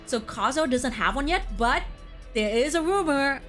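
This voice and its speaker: A woman's voice: high voice, expressive, normal speed, fairly bright tone. Casual chatty woman over music. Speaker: host of a gaming tier list video. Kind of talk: casual chatty video game discussion. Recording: music playing behind the talk. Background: background music.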